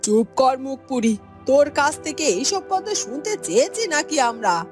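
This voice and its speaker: A woman's voice: high-pitched, big pitch swings, normal speed, faint music behind. A woman voicing a proud, spiteful village mother in a fairy-tale cartoon, with a theatrical manner. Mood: scolding.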